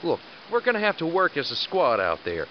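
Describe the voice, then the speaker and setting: A man acts a middle-aged squad leader in an audio drama, blunt and commanding but fatherly. His fairly high male voice moves around with lively intonation. The sound is slightly muffled. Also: a little fast, slight background noise. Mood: stern, exasperated.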